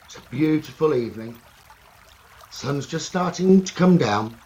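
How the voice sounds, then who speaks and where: A man leading a guided meditation slowly and soothingly, in short phrases with long pauses. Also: fairly high pitch, lively intonation, darkish tone. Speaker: meditation teacher. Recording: running stream water noise behind voice. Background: trickling stream water ambience.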